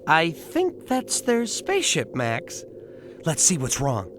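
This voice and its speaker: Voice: mid-range voice, wildly swooping pitch, slow pace, noisy background. An actor playing a cheerful, curious clown who leads the gang in a children's musical audio play, theatrical and jolly. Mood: puzzled.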